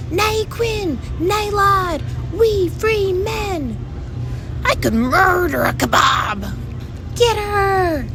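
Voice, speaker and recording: Wee fairy warrior: far higher pitch, boisterous battle cries, storybook tale, noticeable noise. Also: female voice, expressive, very slow, heavily acted. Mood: rowdy, battle-eager. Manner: shouted, exclamatory battle cries. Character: tiny rowdy brawling fairy men, boisterous and defiant. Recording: clearly noisy background.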